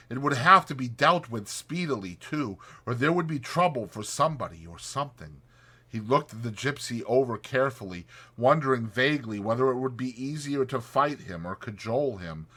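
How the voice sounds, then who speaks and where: A man narrating a classic storybook as an expressive, scripted audiobook reading. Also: medium pitch.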